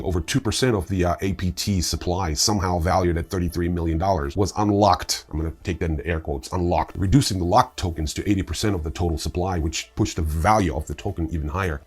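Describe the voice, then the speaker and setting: A man hosting a scripted news roundup, reading in a halting way with informal, dry asides. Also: sits low, darkish tone, husky. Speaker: news host.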